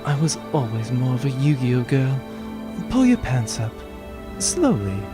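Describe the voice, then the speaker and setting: A woman's voice: rumbling low, big pitch swings, measured pace, over music, heavily acted. A woman playing a cool, sardonic gunslinging infiltrator in a comic sci-fi audio drama, speaking precisely and with composure. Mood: wry, controlled. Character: cool, teasing female gunslinging space infiltrator.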